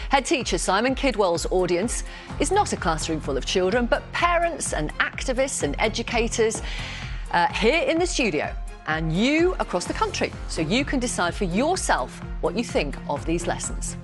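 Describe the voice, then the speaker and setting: A woman presenting live current affairs in a studio, in a measured, clearly signposted delivery. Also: medium pitch, sing-song, over music. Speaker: television presenter. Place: television studio.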